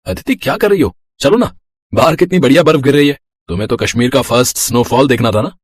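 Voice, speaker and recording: A man's voice: mid-range voice, animated, fast, dry recording, close to an everyday voice. A man playing an easygoing young husband in a cartoon moral story, casual, reassuring and teasing. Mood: excited, teasing.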